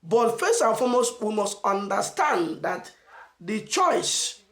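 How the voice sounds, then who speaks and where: A Nigerian man giving an emphatic motivational message as a channel host, asking rhetorical questions and stressing phrases slowly. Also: high-pitched, big pitch swings, a bit bright, reverberant. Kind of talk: motivational preaching to camera.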